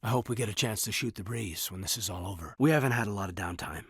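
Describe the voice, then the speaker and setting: A man acting in a video game as an earnest, loyal military officer, reserved and thoughtful, with a husky voice and a sincere, measured delivery. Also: on the low side, dry recording, clean studio sound. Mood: subdued, apologetic.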